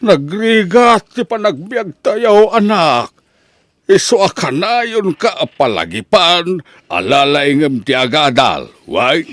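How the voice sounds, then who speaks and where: A man's voice: on the high side, very animated, slow pace, a bit bright, no room sound, heavily acted. A man with an Ilocano accent playing a doting, hardworking poor father, earnest and emphatic, in an audio drama. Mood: earnest, hopeful. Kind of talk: Ilocano radio-style audio drama acting.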